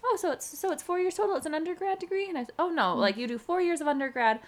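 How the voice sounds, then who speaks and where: A woman's voice: extremely high pitch, fast talker. A woman veterinarian chatting casually on a podcast, telling stories with laughs. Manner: animated storytelling with laughs. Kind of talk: casual chat.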